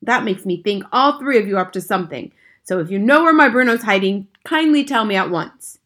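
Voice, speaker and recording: A woman reading a story, voicing a pompous, indignant English father who blusters and shouts. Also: medium pitch, animated, brisk. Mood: angry.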